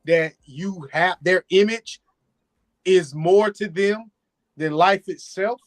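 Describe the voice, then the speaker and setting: High-voiced, blunt, animated man. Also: slow pace, no echo. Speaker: show regular. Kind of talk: casual debate chat.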